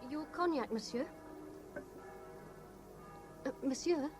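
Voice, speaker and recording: An actress playing a chatty, forthright waitress in a 1970s radio drama. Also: female voice, high voice, slow, music in the background. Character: chatty waitress sharing grim local gossip.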